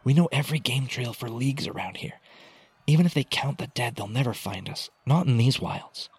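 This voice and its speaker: A man voicing a loyal female scout in a fantasy audiobook, hushed and urging. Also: medium pitch, expressive, a little fast, husky, no room sound, pitched above the performer's own voice. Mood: urgent, hushed.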